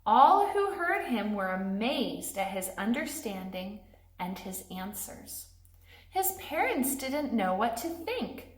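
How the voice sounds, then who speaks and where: A woman's voice: a little high, very animated, takes it slowly. A woman doing a read-aloud for young children, speaking in simple phrases aimed straight at little listeners. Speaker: church pastor reading a children's Bible story aloud. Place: indoors in a classroom. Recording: echoey classroom.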